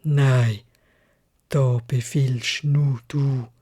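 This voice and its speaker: A Swiss German woman voicing a gentle, sorrowful, ugly male beast in a storybook fairy tale, speaking softly and haltingly. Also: extremely low pitch, fairly even intonation, husky, voice pushed down, heavily acted. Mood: gentle, courteous. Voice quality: soft, gentle. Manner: slow, halting, melancholy.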